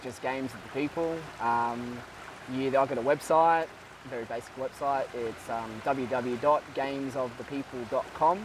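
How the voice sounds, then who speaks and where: A man in his twenties being interviewed, talking in a thoughtful, halting way with many fillers and restarts. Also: mid-range voice, recorded from a distance, noisy background. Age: 20s. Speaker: guest. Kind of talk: casual interview.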